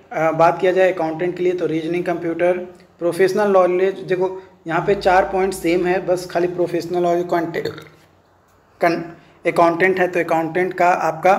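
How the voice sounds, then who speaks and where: A man, an online instructor informally explaining a document, often repeating filler phrases and mixing in English terms. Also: on the high side. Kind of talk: informal explainer of a recruitment notice.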